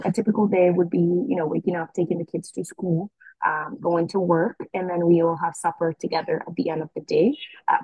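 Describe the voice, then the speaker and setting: A young woman from the Dominican Republic, answering interview questions in a relaxed way, with many fillers and restarts. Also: on the low side, breathy edge, no room sound, poor audio. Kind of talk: casual conversational interview.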